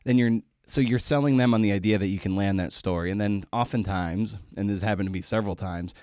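A man hosting a conversational podcast interview, talking in a halting way as he rephrases his thoughts. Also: lowish voice, a little mellow, no echo, clean studio sound. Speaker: podcast host interviewing a writer.

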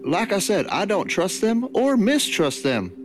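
A man playing a tabletop game, wry and blunt in casual chat. His pitch moves around a lot. There is clear noise on the recording. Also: very animated, measured pace. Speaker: player.

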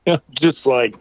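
A middle-aged man spins a comedic story at an unhurried, drawn-out pace. He breaks into voices for the people in his tale and laughs along as he goes. The sound is dry and close. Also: mid-range voice, no room sound. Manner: animated, acts out other people's lines, laughs often. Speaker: storyteller guest.

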